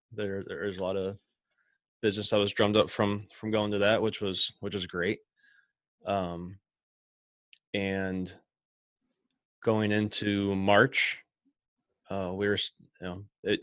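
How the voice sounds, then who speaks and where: Rambling low-voiced man, dry sound. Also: fairly even intonation, measured pace, long pauses, a bit bright, no echo. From Ohio, USA. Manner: rambling, many restarts and fillers. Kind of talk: casual conversation.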